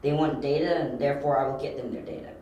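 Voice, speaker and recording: A man playing a young, confident, analytical detective in an amateur audio drama, talking briskly and insistently. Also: mid-range voice, steady tone, warm tone, close to an everyday voice. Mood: assured. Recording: very echoey room.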